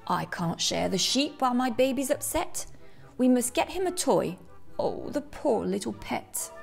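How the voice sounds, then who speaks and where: A woman puts on a fussy male hairdresser from a children's storybook, a doting dad. He is fretful and gushing, with pitch that rises and falls freely over light music. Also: big pitch swings, a little slow, creaky, full character voice. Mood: fretful, pitying.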